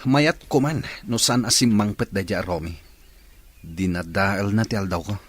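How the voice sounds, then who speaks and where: A man's voice: lowish voice, normal speed, soft background music, natural voice. A man playing a jealous schemer who grumbles and plots against a rival in a radio drama. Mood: grumbling.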